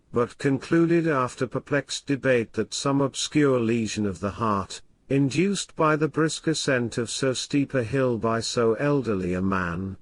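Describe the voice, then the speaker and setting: A man playing a learned, formal professor who narrates literary horror in a grave, measured manner. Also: mid-range voice, dry recording, close to an everyday voice. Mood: grave, scholarly. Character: learned male professor reading weird tales, grave and formal. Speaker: narrator and host.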